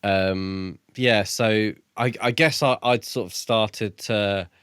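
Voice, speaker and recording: A man's voice: fairly low pitch, steady tone, slow pace, a bit bright, clean tone, no room sound, clean studio sound. A man chatting casually as a podcast co-host, tentatively thinking aloud about a book. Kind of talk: casual book discussion chat.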